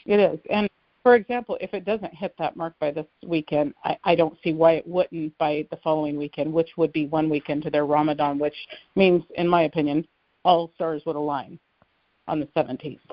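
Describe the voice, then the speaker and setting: A woman's voice: low voice, fairly even intonation, brisk, no room sound. A woman calling in to a phone conference, explaining her views in casual, earnest, carefully qualified talk. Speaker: caller to a conference call. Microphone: telephone conference line. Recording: muffled, dry phone conference line.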